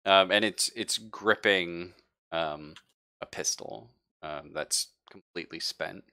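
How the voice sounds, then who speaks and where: Deep, bright-voiced male game master. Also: clean tone. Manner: halting, casual, pausing to think mid-sentence. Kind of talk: improvised narration of a tabletop roleplaying game.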